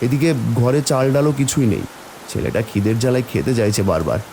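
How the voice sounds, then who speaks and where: A man playing a kind, humble village vegetable seller and father in an animated story, speaking in an earnest, pleading way. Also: medium pitch, quick pace, vocal fry, a little hiss or hum, rough recording. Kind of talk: animated Bengali moral story dialogue.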